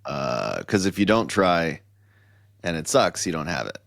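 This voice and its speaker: A middle-aged man with a very deep voice hosts a loose, jokey chat. He talks in excitable bursts and rambles through his questions, and the sound is close and dry. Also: unhurried, vocal fry, no echo. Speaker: podcast host. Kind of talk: casual chat.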